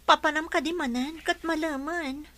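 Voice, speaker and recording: An actress with an Ilocano accent playing a pregnant, commanding young mistress of a household in a radio drama. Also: female voice, high-pitched, fairly even intonation, normal speed, fairly bright tone. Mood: happy, coaxing. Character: pregnant woman, sharp and commanding to household help.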